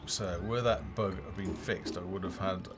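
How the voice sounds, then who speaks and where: A man's voice: deep voice, fairly even intonation, normal speed, background noise. A man giving casual live commentary as a game developer playing, thinking aloud in half-muttered asides. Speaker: game developer streaming a play-through. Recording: game music behind the voice. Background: game music and unit voices.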